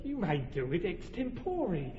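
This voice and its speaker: An actor playing an earnest, fussy carpenter who directs a village play, persuasive and organizing, in a radio drama. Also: male voice, high voice, huge pitch swings, a little slow, warm tone, exaggerated voice. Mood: directing. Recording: dull lo-fi radio recording with hall reverb.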